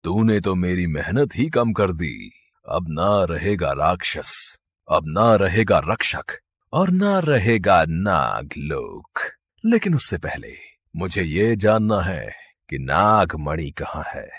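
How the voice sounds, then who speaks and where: Wicked serpent villain, gloating, menacing, drawn-out delivery, rough husky tone, dry recording. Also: male voice, low-pitched, big pitch swings, a bit bright, no echo, voice pushed down, heavily acted. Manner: slow, drawn-out, taunting threats.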